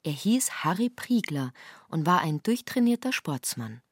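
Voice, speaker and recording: Low-voiced female literary narrator. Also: sing-song, unhurried, no echo, studio quality. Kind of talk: literary narration in a radio drama.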